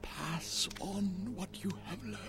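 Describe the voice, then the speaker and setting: A man voicing an ancient, small, dying alien master in a radio drama, with a weak, croaky, breathy voice and halting, urgent speech. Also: high voice, heavily acted. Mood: fading, urgent. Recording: loud orchestral music over the voice.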